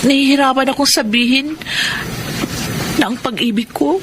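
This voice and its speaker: A woman's voice: high voice, moderate pace, noisy background, lightly acted. A woman with a Filipino accent acting a sweet, guarded young woman with a troubled past in a radio drama. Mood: hesitant, confiding.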